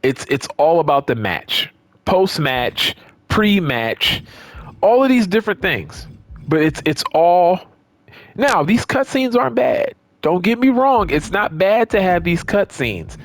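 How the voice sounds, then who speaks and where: A man's voice: sits high, very animated, measured pace, dry recording. A man giving casual, off-the-cuff commentary on a video game, emphatic and repeating words for stress. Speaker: gaming video creator.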